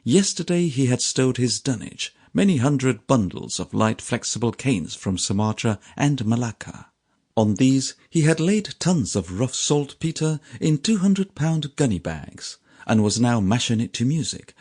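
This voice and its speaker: A man with a mid-pitched voice narrates literary prose from an audiobook. His intonation is highly animated, moving widely up and down. The delivery is measured and theatrical, in the manner of a storyteller. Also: slightly rough. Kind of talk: scripted literary narration of a sea adventure.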